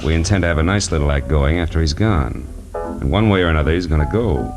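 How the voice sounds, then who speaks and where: An actor playing a cynical, wisecracking private detective in a 1940s radio noir drama, with a wry, clipped, hardboiled delivery. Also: male voice, rumbling low, fast. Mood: cool, skeptical. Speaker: lead actor and narrator. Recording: vintage 1940s radio broadcast, music behind.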